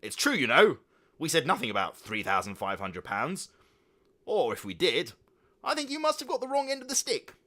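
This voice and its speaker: A man puts on a smug uncle in a comic storybook tale, bluffing his way out of promises. His voice is bright and confident, with big rises in pitch. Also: big pitch swings, moderate pace, heavily acted. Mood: evasive. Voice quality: evasive, blustering.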